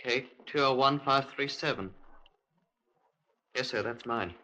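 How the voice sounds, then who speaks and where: A man's voice: medium pitch, measured pace, pushed and bright. A man playing a meek, evasive British lance corporal, stammering and deferential, in a 1950s radio drama. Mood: meek, eager. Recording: vintage 1950s radio recording.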